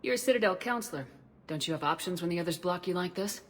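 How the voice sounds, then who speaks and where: An actress playing a stern, impatient military commander in a sci-fi video game, firm, terse and blunt. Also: female voice, fairly low pitch, expressive, fast, slight background noise, natural voice. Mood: resolute. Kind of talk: dramatic video game acting.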